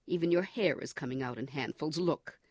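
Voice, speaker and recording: A man voicing a cold, menacing male party interrogator in an audiobook, speaking forcefully and with contempt. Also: fairly high pitch, brisk, slightly rough, no echo, clean studio sound. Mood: contemptuous. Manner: forceful, contemptuous, coldly commanding. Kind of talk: audiobook character reading.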